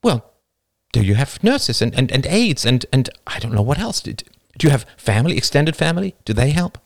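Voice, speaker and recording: A man voicing a gentle, earnest and inquisitive young woman with a soft, concerned manner. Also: mid-range voice, sing-song, normal speed, creaky, dry recording, clean studio sound, pitched above the performer's own voice. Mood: sympathetic, earnest.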